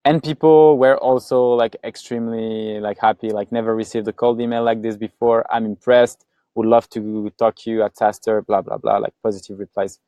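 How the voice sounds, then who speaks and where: A man's voice: lowish voice, little pitch movement, normal speed, warm tone, dry recording. A man from Paris with a French accent, presenting his own results in a loose, chatty webinar talk full of fillers and restarts. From France.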